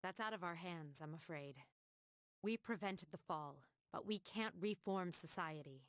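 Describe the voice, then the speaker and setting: Actress doing calm formal leader lady in a game, lowish voice, deliberate, dry studio sound. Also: dry recording, natural voice. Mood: resigned, firm. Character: composed, mature young woman, authoritative team leader. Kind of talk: scripted video game cutscene acting.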